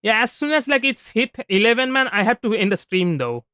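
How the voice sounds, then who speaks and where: High-pitched, bright-voiced male streamer. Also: sing-song, fairly quick, clear, resonant tone, no room sound, studio quality. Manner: rambling, repeats and restarts phrases often. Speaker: livestreamer. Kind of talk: casual gameplay livestream commentary. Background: soft background music.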